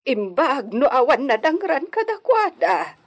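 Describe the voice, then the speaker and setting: A woman's voice: high voice, very animated, unhurried. A woman with an Ilocano accent playing a fretful, lamenting mother in a radio drama. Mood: worried lamenting. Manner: lamenting, exclamatory. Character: fretful mother of feuding grown children, hacienda household.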